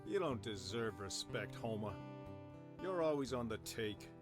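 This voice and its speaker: A man playing a gruff, defiant family man in an audio drama, speaking in a blunt, stern, confrontational way. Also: medium pitch, expressive, measured pace, over music, lightly acted. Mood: angry, determined.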